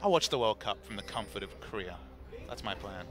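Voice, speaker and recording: A man commentating on esports in casual banter, making wry, deadpan jokes. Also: lowish voice, fairly quick, faint music behind.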